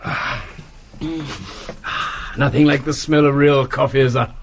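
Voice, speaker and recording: An actor playing an older English colonel in a radio play, blustering, apologetic and hearty, often stammering. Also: male voice, medium pitch, level tone, a little slow, noisy background, lightly acted. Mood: genial, ingratiating. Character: blustering, apologetic gentleman colonel, eager to please.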